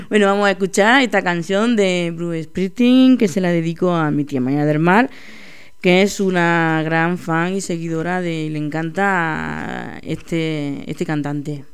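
A woman's voice: lowish voice, takes it slowly, clear, resonant tone. A woman hosting a local radio show in a chatty, laughing, self-deprecating way. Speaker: radio host. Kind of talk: casual local radio chat.

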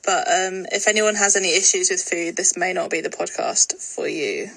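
A woman's voice: lowish voice, normal speed, a bit bright, a little hiss or hum, poor audio. Dry, matter-of-fact young woman. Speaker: podcast co-host. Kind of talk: casual chat.

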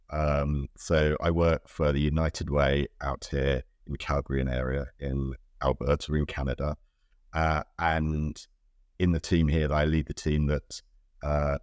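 A man's voice: rumbling low, darkish tone, dry recording, clean studio sound. A middle-aged British man from the UK answering interview questions in a thoughtful, hesitant way, with many ums and restarts. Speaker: guest, innovation director.

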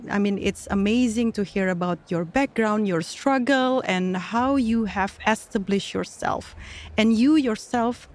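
A woman's voice: mid-range voice, measured pace, darkish tone. A woman hosting a live podcast interview at an event, speaking in an upbeat, promotional way. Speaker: podcast host.

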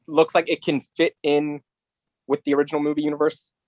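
A man's voice: on the high side, level tone, a little fast, a little mellow, no echo, lo-fi sound, faint music behind. A man co-hosting a podcast, chatting casually about films and games. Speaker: podcast co-host.

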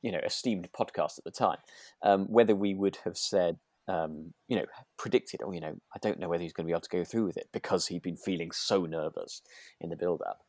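Low-voiced British man, dry close sound. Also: brisk, a little mellow, no echo. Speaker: podcast co-host. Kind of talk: casual chat about a radio drama.

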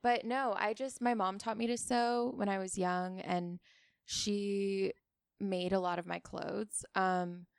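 A woman's voice: mid-range voice, steady tone, slow, gravelly, clear, resonant tone, no room sound, clean studio sound. A young woman from Hawaii chatting casually as a podcast guest, talking in a loose, rambling way full of filler words. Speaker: guest, small business owner. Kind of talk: casual chat.

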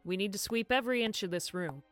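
A woman playing a confident police detective in an audio drama, brisk and commanding. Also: medium pitch, a bit bright, soft background music, close to an everyday voice. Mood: businesslike.

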